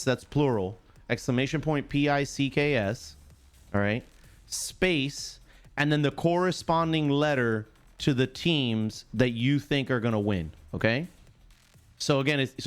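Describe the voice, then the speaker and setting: A man hosting a live sports stream, chatting casually in chummy slang, greeting viewers by name and repeating himself. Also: medium pitch.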